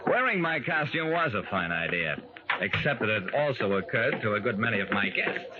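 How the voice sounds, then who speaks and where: An actor with an American accent playing an affable private detective in an old radio drama. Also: male voice, lowish voice, big pitch swings, normal speed, muffled recording. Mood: narrating. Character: affable male private detective narrating his casebook. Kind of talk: 1940s-50s radio acting. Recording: noisy, band-limited old radio recording.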